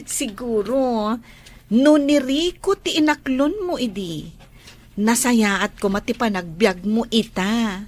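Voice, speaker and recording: A woman's voice: a little high, animated, slow pace. A woman acting as a teasing, outspoken friend giving love advice in an audio drama, with an Ilocano accent. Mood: teasing, insistent.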